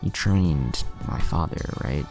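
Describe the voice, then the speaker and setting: A man's voice: a bass voice, takes it slowly, music in the background, exaggerated voice. A man voicing a blunt, confident teenage ninja boy in a narrated anime fan story. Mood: curious, direct.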